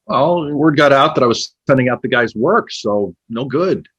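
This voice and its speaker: A man playing a probing, cynical former literary agent in a naturalistic two-man play, pointed and halting, with a husky voice. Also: medium pitch, animated, fairly bright tone. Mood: defensive, earnest. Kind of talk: naturalistic stage dialogue.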